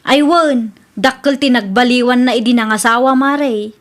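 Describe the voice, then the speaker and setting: Radio drama role: fed-up wife, blunt, very high female voice played by a woman. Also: normal speed, close to an everyday voice. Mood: disapproving. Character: exasperated, plain-spoken wife of a drinking man. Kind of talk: Ilocano radio drama acting.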